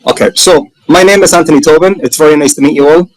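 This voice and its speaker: Man, Irish accent, enthusiastic, chatty, self-correcting, slightly high, dry close recording. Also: fast, dry recording, low-quality recording. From Ireland. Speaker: booking manager presenting his sailing resort. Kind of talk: casual presentation on a video call.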